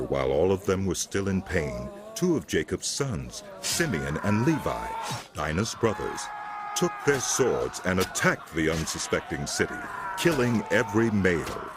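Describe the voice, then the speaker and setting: A man narrating scripture from a script as a solemn, measured storyteller. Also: low voice, noisy background.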